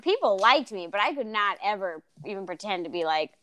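A woman's voice: medium pitch, a little fast. A woman co-hosting a casual podcast chat, from the Philippines, talking freely and laughing often. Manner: chatty, laughs often, lively storytelling. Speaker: podcast co-host.

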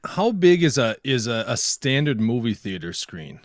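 A man's voice: mid-range voice, sing-song, moderate pace, dry recording. Casual male podcast host, dry close sound. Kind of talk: casual tech and gaming podcast chat.

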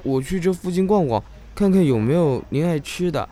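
Man playing eager, high-voiced young assistant. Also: lively intonation, a little fast, warm tone, close to an everyday voice. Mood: eager, caring. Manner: solicitous, deferential. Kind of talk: Chinese audio drama acting.

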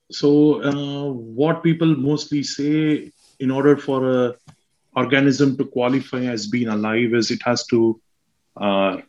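A man from South India with a South Indian accent, a guest scientist explaining slowly with many fillers and restarts. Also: medium pitch, highs cut off. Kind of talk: explaining science in a casual chat.

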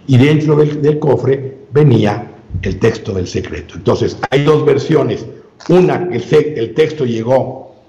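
A man speaking as an interview guest, giving a long measured explanation with frequent filler sounds and restarts. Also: mid-range voice, vocal fry, dark tone. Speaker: guest researcher. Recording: roomy, dull lo-fi sound.

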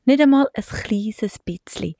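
A woman's voice: a little high, lively intonation, measured pace, dark tone, no room sound, studio quality. A Swiss woman in her forties with a Swiss German accent, chatting casually to the camera as a mother and vlogger, laughing often and slipping in English words. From Switzerland.

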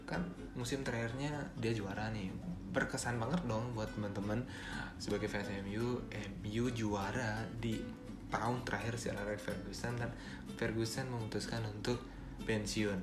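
A young man with a Balinese accent talks through a casual solo podcast in a lowish voice. He rambles, stops to correct himself and fills gaps with ums. His voice rings in a roomy space while guitar music plays clearly behind him. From Bali. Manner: chatty, rambling, with many fillers and self-corrections. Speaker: podcast host.

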